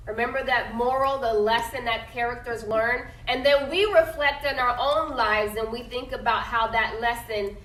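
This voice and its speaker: A woman's voice: a little high, fairly even intonation, normal speed, hardly any pauses, fairly bright tone. A woman teacher reading a picture book aloud to her class, stopping often to ask questions and check understanding with "okay?". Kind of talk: classroom read-aloud lesson. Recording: echoey classroom from a distance.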